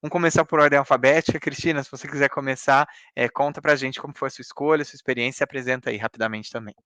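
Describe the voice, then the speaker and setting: A man's voice: mid-range voice, fairly even intonation, very fast, a bit bright, smooth, dry recording. A man with a Brazilian Portuguese accent moderating an informal online panel as host.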